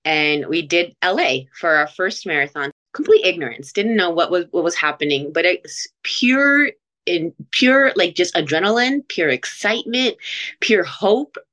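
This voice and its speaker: Los Angeles'lı, otuzlarının sonunda bir kadın; oldukça kalın, alçak bir sesle, podcast konuğu olarak hikayesini anlatıyor. Heyecanlandığı yerlerde sesi yükseliyor, sık sık cümlelerine yeniden başlıyor ve o anki düşüncelerini tırnak içindeymiş gibi aktarıyor. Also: female voice, on the low side, measured pace. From Los Angeles, USA. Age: late 30s. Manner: animated, rising to excited peaks when recalling; frequent restarts and quoted inner speech. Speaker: podcast guest, marathon runner. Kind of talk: conversational storytelling interview.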